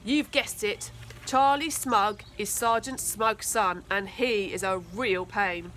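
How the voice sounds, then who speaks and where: A woman's voice: medium pitch, takes it slowly, a bit bright, a little hiss or hum. A woman reading a children's book aloud, narrating expressively like a school storyteller.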